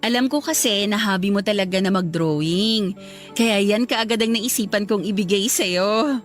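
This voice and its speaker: A woman's voice: medium pitch, moderate pace, faint music behind, close to an everyday voice. An actress playing an emotive young wife and mother with a Filipino accent in a radio drama. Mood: affectionate. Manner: emotive, expressive. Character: loving young wife and mother, quick to reproach.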